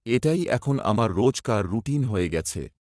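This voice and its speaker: A man's voice: fairly low pitch, lively intonation, moderate pace, darkish tone, dry recording, clean studio sound, lightly acted. A man playing a poor, worried young village son, narrating his hardship in a scripted cartoon story. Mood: sorrowful. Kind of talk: first-person scripted horror cartoon narration.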